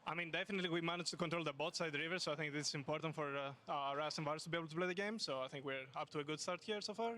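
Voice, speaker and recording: Rapid, halting male coach in interview. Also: on the high side, pushed and bright. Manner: halting, many "uh"s. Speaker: team coach. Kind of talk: live interview answers.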